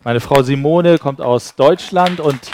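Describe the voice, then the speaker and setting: A man's voice: medium pitch, unhurried, background noise. A man interpreting a sermon live, rendering it phrase by phrase after the preacher.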